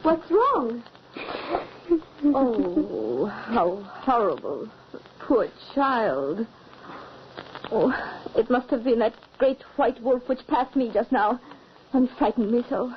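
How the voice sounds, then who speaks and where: A woman's voice: on the high side, expressive, slow, pauses often, slight background noise. A woman voicing a sly stepmother feigning horror in an old radio drama, drawing her words out. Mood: false pity. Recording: dull old radio recording.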